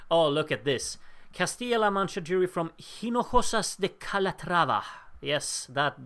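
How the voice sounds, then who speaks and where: A man's voice: fairly high pitch, a bit bright. A man hosting a live stream, casually commenting on voting results and reading out viewer names with wry jokes, his voice a bit worn. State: losing his voice. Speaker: livestream host.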